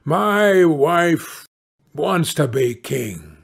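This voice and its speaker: A man's voice: a little high, big pitch swings, drawn-out words, creaky, breathy edge, full character voice. A man voicing a meek, worried, henpecked fisherman in a fairy-tale audiobook, with a pleading, plaintive manner. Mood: sorrowful.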